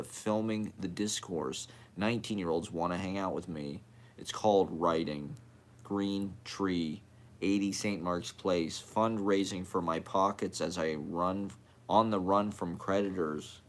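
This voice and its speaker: A man reading poetry aloud as a poet, in short clipped fragments delivered one after another. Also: low voice.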